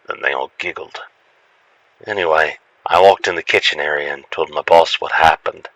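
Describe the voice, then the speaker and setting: A man narrating a scripted first-person story in a dramatic, expressive storytelling manner. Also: very deep voice, moderate pace, no echo, poor audio. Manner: expressive storytelling, acts out the lines.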